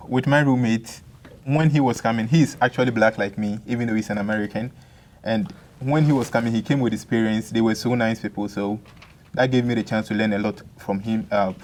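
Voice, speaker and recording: A man's voice: mid-range voice, darkish tone. A young man from Ghana, an international student answering press questions in a friendly, easygoing way. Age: young adult.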